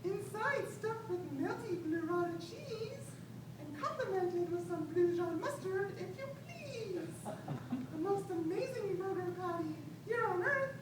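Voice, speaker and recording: A man voicing an odd little blue cartoon-like salesman creature, exuberantly pitching in showy rhyme on stage. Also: animated, measured pace. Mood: grand, excited. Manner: grand, showy, sing-song rhyming pitch. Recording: echoey room, distant mic, noisy rumble.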